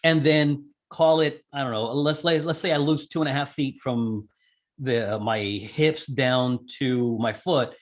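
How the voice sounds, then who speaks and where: A man speaks as an interview guest in a casual, conversational way. He walks through technical details step by step, sometimes reworking his phrasing as he explains. His pitch sits in a middle range for a man. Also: fairly even intonation, unhurried. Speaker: interview guest, amateur radio operator and skydiver.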